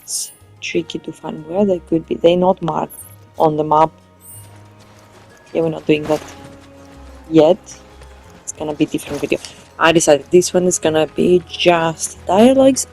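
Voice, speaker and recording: Mellow woman thinking aloud over gameplay. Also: lowish voice. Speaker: gamer, let's play host. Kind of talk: casual gameplay commentary.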